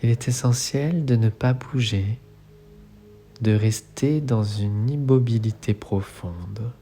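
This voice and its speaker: A man leading a guided relaxation meditation as an instructor, speaking in soothing, measured phrases. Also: medium pitch. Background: soft music.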